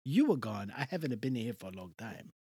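A gushing middle-aged Italian matron, put on by a podcast co-host, mangling her English in a thick mock Italian accent. Her pitch swoops up and down as she piles on exclamations. The sound is dry and close. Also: sing-song, fairly quick, dark tone, breathy edge, no room sound. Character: effusive middle-aged Italian woman, Mrs. DeRossi. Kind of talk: comic character bit in casual chat.

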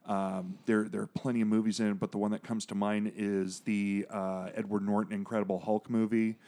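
Low-voiced rambling man on a podcast. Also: little pitch movement, a little fast, warm tone. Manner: rambling, digressive, many fillers and restarts; jokey, profane asides. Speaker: podcast co-host. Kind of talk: casual podcast chat about comics.

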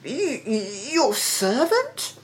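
A woman voicing an excitable old king, exclamatory and imperious, in a storybook reading. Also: on the high side, moderate pace, voice pushed up. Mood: shocked. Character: excitable old king, hearty and easily astonished.

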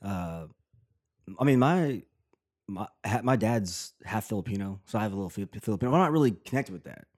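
Lower-voiced man, laid-back chat. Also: lively intonation, fairly quick, darkish tone. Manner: laid-back, swears casually, hesitant "uh" fillers. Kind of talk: casual conversation.

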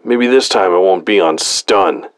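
A man's voice: deep voice, a little slow, smooth, no echo. Casting: male reader as surly male sci-fi soldier, barking and aggressive, clipped orders, storybook villain's henchman. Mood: angry. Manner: barking, curt. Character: gruff, hostile armoured male soldier.

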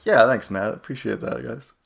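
A man co-hosting a podcast in a casual, relaxed way, laughing easily as he talks. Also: mid-range voice, moderate pace, gravelly, husky. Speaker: podcast co-host.

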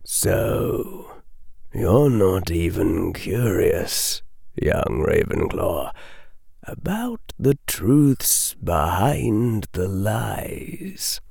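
Sinister whispering mist being, a man doing it, dry hissing whisper, very slow, insinuating, dead-dry sound. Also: sits low, big pitch swings, gravelly, darkish tone, dry recording, exaggerated voice. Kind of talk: audiobook character voice.

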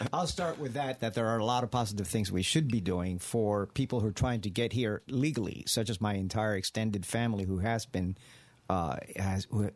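A man's voice: on the low side, darkish tone. An older man from Cuba, a radio guest telling his family story in an earnest, digressive way. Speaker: studio guest.

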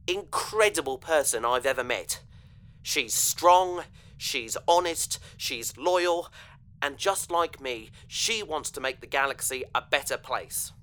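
A man's voice: mid-range voice, sharp tone. A man playing an earnest, hesitant young space adventurer in an audio drama.

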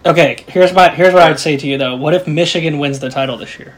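Very fast-talking man challenging in debate. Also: on the high side, rough recording. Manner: challenging, questioning. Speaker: sports podcast co-host. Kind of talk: casual sports debate.

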